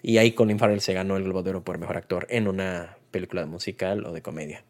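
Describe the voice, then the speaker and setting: A man with a Mexican accent and a slightly low-pitched voice hosts a film review. He talks casually and without a script, in colloquial, conversational phrasing. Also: steady tone, fast. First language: Spanish. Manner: conversational, colloquial, retells scenes with acted-out quotes. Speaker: film podcast host and reviewer.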